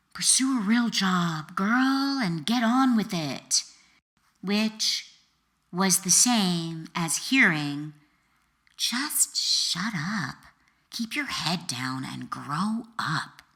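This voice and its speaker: A woman author reading her own memoir as an expressive audiobook narrator, with a dramatic, deliberate delivery and emphatic pauses. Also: lowish voice.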